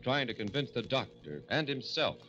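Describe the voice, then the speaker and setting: An actor playing a grave, portentous horror-show narrator in theatrical 1940s radio style. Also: male voice, mid-range voice, sing-song, normal speed, natural voice. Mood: ominous. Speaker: announcer, host. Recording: dull old radio recording, dramatic music.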